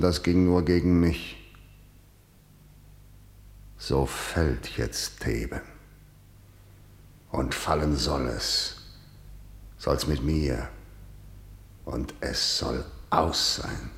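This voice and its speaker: A very deep-voiced broken king. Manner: halting, bitter lament. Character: broken grieving king, bitter and defeated. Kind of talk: classical verse drama radio play. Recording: roomy hall reverb.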